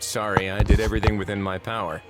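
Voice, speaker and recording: Low-voiced, laid-back man, acted for a game. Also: level tone, fast talker, lightly acted. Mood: unassuming. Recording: loud game music behind voice. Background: game music.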